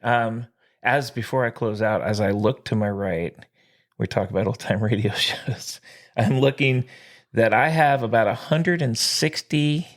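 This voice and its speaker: A man's voice: mid-range voice, unhurried. A man co-hosting a podcast in casual chat, rambling and trailing off, laughing often. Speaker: podcast co-host.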